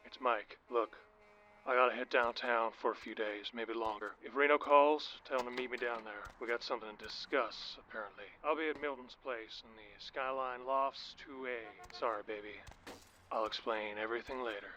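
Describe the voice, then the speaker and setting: A man acts out a hurried, offhand guy leaving an apologetic message in a video game. The voice is mid-pitched and forward, on a dull recording with faint noise. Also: pushed and bright, close to an everyday voice. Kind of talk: video game character acting.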